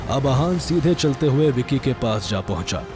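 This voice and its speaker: A man's voice: medium pitch, lively intonation, normal speed. A man narrating a scripted story in a dramatic storytelling style.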